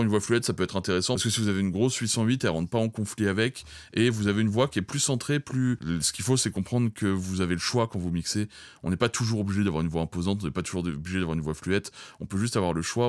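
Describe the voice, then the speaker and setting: A low-pitched man walks viewers through audio mixing. He talks in a colloquial, explanatory way, addressing them directly. The sound is close and very dry. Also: no room sound. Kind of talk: informal spoken tutorial.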